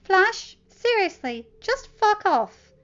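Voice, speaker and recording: An excitable teenage boy hero from an audiobook story, acted out by a woman. The voice is raised high, eager and emphatic, affectionate with a cheeky edge, on a slightly dull recording. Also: slow pace, heavily acted. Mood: defiant.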